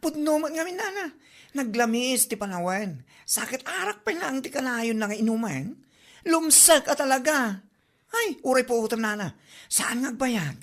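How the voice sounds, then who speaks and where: A woman's voice: high-pitched, animated, normal speed. A woman with an Ilocano accent voicing a gossipy neighbor who chatters about others. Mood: gossiping.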